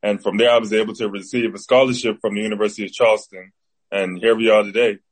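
A man's voice: lowish voice, steady tone, fast talker, rough recording. A man in his twenties from the Bahamas with a Bahamian accent, an athlete giving casual interview answers as a guest. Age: 20s. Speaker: interview guest, football player.

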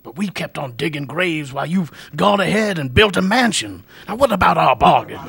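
An actor playing a sly, menacing male gravedigger who bargains slowly and sinisterly in a radio drama. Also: a little high, big pitch swings, a bit bright, exaggerated voice. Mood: wheedling. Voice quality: gravelly, husky. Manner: slow, wheedling, sinister.

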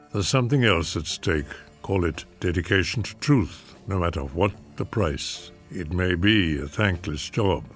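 A man hosting a radio drama series, reading a scripted introduction in a measured, theatrical manner. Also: sits low.

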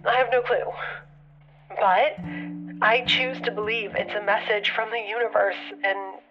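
A woman's voice: medium pitch, fairly even intonation, a little slow, lightly acted. An actress with an American accent playing an anxious, grieving woman leaving a hesitant, rambling, confessional voicemail in an audio drama. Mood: wistful. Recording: muffled lo-fi phone message with music behind.

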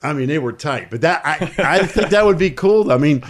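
A man's voice: fairly high pitch, expressive, normal speed. A man hosting a sports talk radio show, chatting with a co-host and taking phone calls in an emphatic, repetitive way. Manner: chatty, emphatic, repeats himself.

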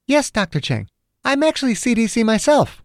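Assertive female doctor, played by a male narrator: voice lifted high, polite, measured, professional, dry audiobook sound. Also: big pitch swings, no room sound, heavily acted. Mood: polite, firm. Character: polite but assertive woman government disease doctor. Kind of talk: audiobook character dialogue.

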